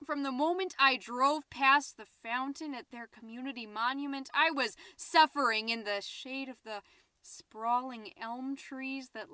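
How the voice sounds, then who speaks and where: A woman's voice: fairly high pitch, pushed and bright, clear, resonant tone, dry recording, studio quality. A woman playing a gossipy, petty, easily flustered middle-aged American suburban mom, chattily hosting her podcast in a scripted comedic monologue. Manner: chatty, indignant, with muttered asides. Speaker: podcast host.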